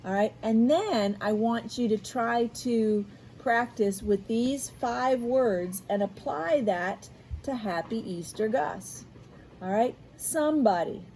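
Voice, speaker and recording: An American woman, a school media specialist, reading aloud and teaching young children in an expressive, encouraging, question-asking way. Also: on the high side, slight background noise, rough recording. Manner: expressive, encouraging, asks questions and pauses for answers. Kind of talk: read-aloud storytelling and lesson for young children.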